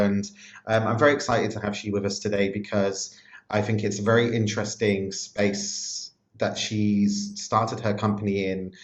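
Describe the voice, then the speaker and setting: A man with a lowish voice hosts an interview in a casual, chatty way, often hedging with fillers. He is recorded in an echoey room, and the sound is a little dull and muffled. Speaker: podcast host.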